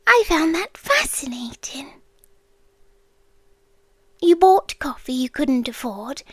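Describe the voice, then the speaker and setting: A British woman voicing an ancient, lovestruck, obsessive end-of-the-world entity in a hushed, intimate ASMR roleplay, with deliberate pauses, close to the microphone. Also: high-pitched, big pitch swings, no echo, exaggerated voice. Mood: tender, fascinated. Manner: slow, hushed, deliberate delivery with long pauses. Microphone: close, dry studio microphone.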